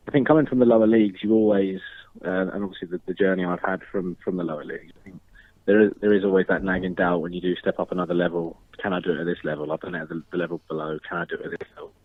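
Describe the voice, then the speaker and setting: Young man, twenties, very low voice, dry and close, phone-line sound, modest measured answers, lots of ums. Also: no echo. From Northern Ireland. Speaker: football striker, interview guest. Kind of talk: casual interview answers.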